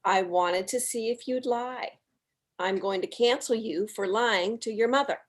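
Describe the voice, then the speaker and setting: A woman acts out a needling mother of sixty in a comedy script. Her voice sits fairly high. She teases with mock sweetness. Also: unhurried, close to an everyday voice. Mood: teasing. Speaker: actor in a table read. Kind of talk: comedic dialogue reading.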